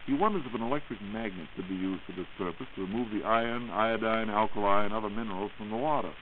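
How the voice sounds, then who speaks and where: A faintly noisy, narrow-band vintage radio recording of an American male host with a fairly low voice. He announces and puts questions in the courteous, formal scripted style of the 1940s. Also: quick pace. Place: radio studio.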